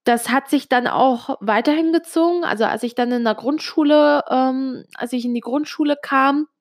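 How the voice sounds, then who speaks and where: Confiding young woman, slightly high voice. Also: moderate pace. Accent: Italian-Swiss German speaker with little Swiss accent. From Switzerland. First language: Italian. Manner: confiding, addresses the listener directly, frequent fillers. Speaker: vlogger. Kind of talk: personal storytelling to camera.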